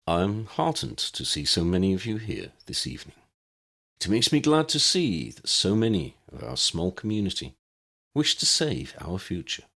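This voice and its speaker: A man voicing a calm, fanatical dark wizard giving a quiet, solemn speech in an audiobook. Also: fairly low pitch, moderate pace, creaky, heavily acted. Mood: calm fervour.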